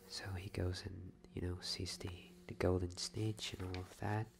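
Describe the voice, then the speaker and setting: A man hosting a soft-spoken ASMR bedtime story reading, hushed and often drifting toward a whisper. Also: low voice, flat delivery, normal speed, vocal fry, a crowd in the background.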